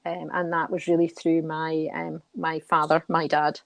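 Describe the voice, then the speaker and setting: A middle-aged woman giving thoughtful, measured interview answers, often repeating a word as she goes. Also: deep voice, flat delivery, gravelly, no echo. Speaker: guest, senior nurse and charity committee member.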